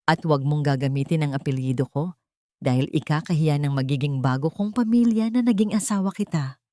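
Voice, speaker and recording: Villainous husband played by a female narrator, cold, harsh and contemptuous, lowish register, audiobook drama. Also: brisk, warm tone, no echo, lightly acted. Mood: cold contempt. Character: heartless adult husband, cold bullying villain.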